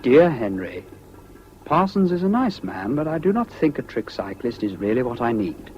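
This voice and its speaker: A man's voice: mid-range voice, big pitch swings, normal speed, a little mellow, soft background music, lightly acted. An actor with a British accent playing an earnest, troubled man voicing an apologetic letter in a 1970s radio drama, with precise delivery. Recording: dull, noisy old radio recording.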